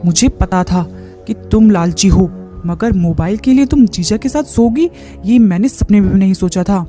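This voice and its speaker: Earnest, mellow wife voiced by a woman narrator. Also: medium pitch, expressive, normal speed, faint music behind, lightly acted. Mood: angry. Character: trusting wife and nurse, wronged and stern.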